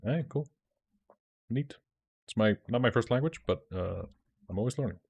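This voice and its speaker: A man's voice: mid-range voice, lively intonation, moderate pace, vocal fry, darkish tone, breathy edge. Icelandic-accented man chatting on stream. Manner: rambling, many restarts, answers chat. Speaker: streamer and dungeon master. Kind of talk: casual livestream chat with viewers.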